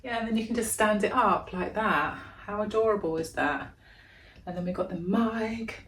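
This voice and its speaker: A woman with a deep voice, chatting casually and gushing as she unboxes a gadget in a vlog at home. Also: moderate pace, fairly bright tone, slight background noise. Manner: gushing, chatty, thinking aloud. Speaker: vlogger.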